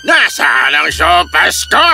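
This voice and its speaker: A bullying, greedy monster for a children's cartoon tale. The voice is gravelly and swings widely in pitch as it menaces and bellows, with music underneath. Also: male voice, wildly swooping pitch, slow, sharp tone, heavily acted. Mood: menacing demand. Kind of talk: animated children's story acting.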